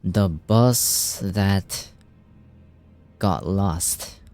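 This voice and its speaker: Guy streaming a game, reads and translates the text out loud, slow and unhurried, faint music under him. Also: deep voice. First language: Japanese. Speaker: gaming streamer. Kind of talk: casual game playthrough commentary.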